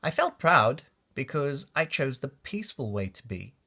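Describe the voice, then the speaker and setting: A man reading a rhyming children's storybook aloud in an expressive, deliberate way for young listeners. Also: mid-range voice, creaky, breathy edge. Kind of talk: read-aloud storybook narration of rhyming verse.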